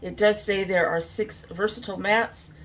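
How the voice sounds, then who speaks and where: A woman's voice: mid-range voice, steady tone, normal speed. A woman talking informally to camera as a beauty vlogger, thinking aloud in a casual makeup review.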